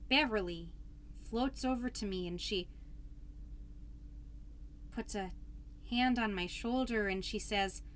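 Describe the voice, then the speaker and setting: A woman's voice: mid-range voice, slow, long pauses, a little hiss or hum, close to an everyday voice. A woman playing an earnest, anxious suburban mom podcaster in a rambling, self-doubting comedic monologue that tends toward grand declarations. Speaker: podcast host character.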